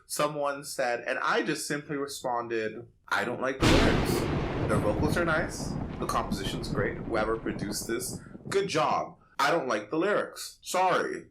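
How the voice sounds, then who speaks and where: Sassy man, bright forceful voice. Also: medium pitch, lively intonation, unhurried, a little hiss or hum, low-quality recording. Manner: sassy, theatrical, slangy. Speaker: podcast co-host. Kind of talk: casual chat and animated storytelling.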